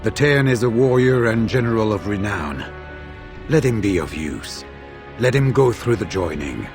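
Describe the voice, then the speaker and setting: A pragmatic veteran warrior in a fantasy game, with a fairly low voice. He reasons soberly and formally, with measured firmness, over music. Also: lively intonation. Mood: firm, reasoning. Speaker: voice actor in a fantasy role-playing game. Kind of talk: dramatic fantasy game cutscene acting.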